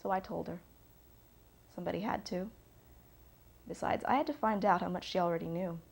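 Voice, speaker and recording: A woman's voice: fairly low pitch, a little fast, vocal fry, a little mellow, husky. Candid girlfriend played by a woman, self-assured, frank, confiding delivery for an interview-style drama. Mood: matter-of-fact. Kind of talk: dramatised documentary interview monologue.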